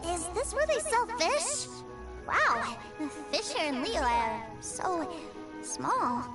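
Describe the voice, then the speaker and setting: A woman's voice: very high voice, big pitch swings, takes it slowly, exaggerated voice. A woman voicing a small, curious, innocent and eager little boy in an anime video game. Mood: marveling. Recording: music playing behind the voice.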